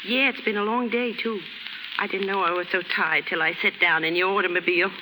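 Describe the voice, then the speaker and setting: A woman's voice: mid-range voice, fairly even intonation, a little fast, lightly acted. An actress playing a scruffy, wary young slum girl with slangy American street speech in a 1930s radio drama. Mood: tired, plain-spoken. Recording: noisy, reverberant 1930s radio recording.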